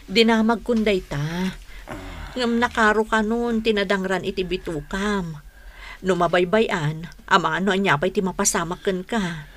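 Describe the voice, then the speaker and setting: A woman's voice: medium pitch, measured pace, fairly bright tone, close to an everyday voice. A woman playing a worried, plain-spoken married market vendor in an Ilocano radio drama. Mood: worried, urging.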